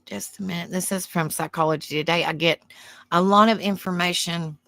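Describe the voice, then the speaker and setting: A woman with a Southern US accent and a soft, soothing voice hosts a livestream. She chats in a rambling way, reads aloud and answers viewer comments. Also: fairly low pitch, steady tone, a little fast, vocal fry, no echo. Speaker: livestream host. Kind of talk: casual livestream chat, reading aloud.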